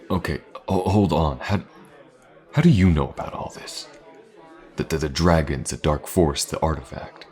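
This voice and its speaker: An actor playing a gruff, wary, self-assured mercenary swordsman in a fantasy audio roleplay, speaking in a dry, terse way. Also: very deep voice, normal speed, a little mellow. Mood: alarmed, guarded.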